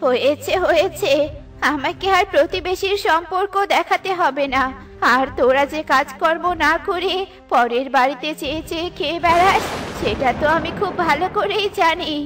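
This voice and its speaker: A woman's voice: very high voice, normal speed, fairly bright tone, faint music behind. A woman voicing a grumpy, suspicious auntie bird in a children's cartoon, scolding and irritated. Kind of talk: Bengali children's cartoon voice acting.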